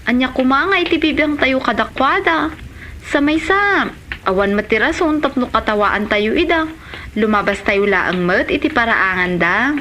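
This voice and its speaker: A woman's voice: on the high side, animated, moderate pace, sharp tone, slight background noise. A woman acting as a sensible, teasing grown daughter in an Ilocano comedy drama. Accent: Filipino, Ilocano.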